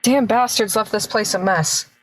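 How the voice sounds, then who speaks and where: A woman's voice: medium pitch, normal speed, natural voice, poor audio. A woman playing an earnest, wry young small-town male detective in an amateur radio play. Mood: bitter.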